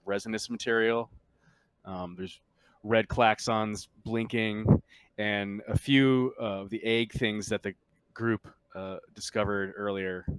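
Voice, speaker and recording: A man working as a game master, casually narrating scenes and explaining rules in a halting way as he searches for words. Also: on the low side, a bit bright, clear, resonant tone, no echo.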